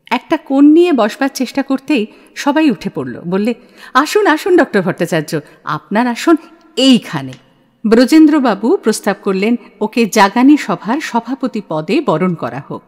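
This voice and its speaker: Female narrator for audio drama: literary delivery, lightly raised pitch, echoing sound over music. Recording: long reverb, music behind.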